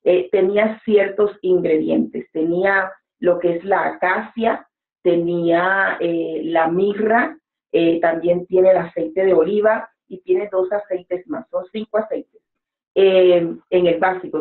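A woman's voice: fairly low pitch, steady tone, measured pace. Earnest woman preacher on a tinny video call. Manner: earnest, exhorting, with gestures. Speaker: guest preacher. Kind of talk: motivational interview talk. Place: home room with bookshelves. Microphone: webcam on a video call. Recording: echoey room, phone-like sound.

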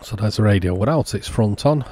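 A man's voice: on the low side, lively intonation, fairly quick, warm tone, husky. A man narrating a hands-on repair walkthrough in a matter-of-fact, understated way, as the host of a repair channel.

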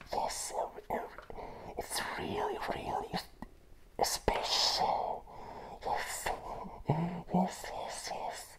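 A young man playing a crazy, jittery circus clown in a whispered ASMR roleplay, haltingly repeating his words with nervous apology in his manner. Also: rough recording. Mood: nervous, eager. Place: small room with a plain grey wall. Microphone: close to the mic and camera.

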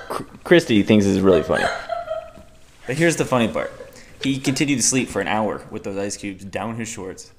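A man's voice: on the low side, background noise. A young man laughing as he tells a prank story to a handheld camera indoors. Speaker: friend on the trip.

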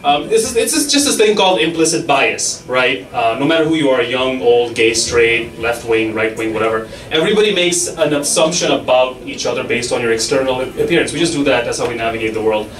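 A young man doing a stand-up set on a club stage with a handheld mic, delivering mock-authoritative rules and quick asides. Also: medium pitch, expressive. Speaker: stand-up comedian. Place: comedy club stage, audience laughing. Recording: echoey club from far off, loud audience laughter.

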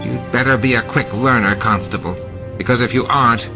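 A man voicing a scheming, greedy male alien bar owner, raising his voice high with indignant, wheedling protests. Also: steady tone, a little fast, lightly acted. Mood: protesting. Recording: dull lo-fi with music behind.

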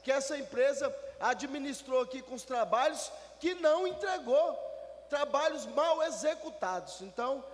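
A man with a very high-pitched voice presses a complaint at a council meeting, urging his colleagues to act. He speaks into a table microphone, and the sound carries the long reverb of a large chamber. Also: lively intonation, normal speed. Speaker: city councillor. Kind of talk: formal council speech. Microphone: table gooseneck mic.

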